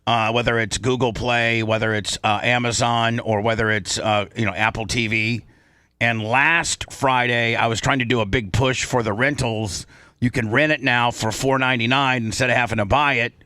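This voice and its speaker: A man hosting a casual radio talk show, speaking in a halting, rambling way on a close microphone. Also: medium pitch, steady tone, pushed and bright, dry recording. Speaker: host and boss of the show. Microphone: close studio microphone.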